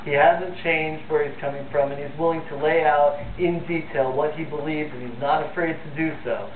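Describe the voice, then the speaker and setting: Thin, noisy and echoey audio carries a man hosting a live call-in talk show. His voice sits fairly high, and he talks off the cuff with earnest, opinionated conviction. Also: fairly even intonation, normal speed. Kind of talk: unscripted live phone-in talk. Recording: phone-like, roomy, distant, noisy TV audio.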